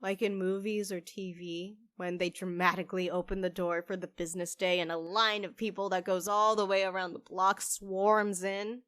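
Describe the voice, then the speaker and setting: A woman's voice: medium pitch, little pitch movement, moderate pace, few pauses, a bit bright, dry recording, natural voice. A woman playing an earnest, hopeful young witch who runs a cafe, in a conversational audio drama style. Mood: upbeat.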